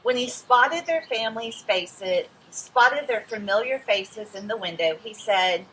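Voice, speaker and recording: A woman reads a picture book aloud for children in an expressive storytelling manner. Her voice is bright and she takes her time, speaking directly to young listeners. A little noise sits behind her. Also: a little high, a little slow, low-quality recording. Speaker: children's read-aloud host.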